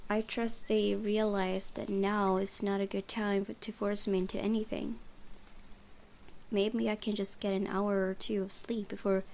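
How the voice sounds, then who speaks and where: Female gaming host, reading visual novel narration, casual asides, medium pitch, faint background noise. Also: darkish tone.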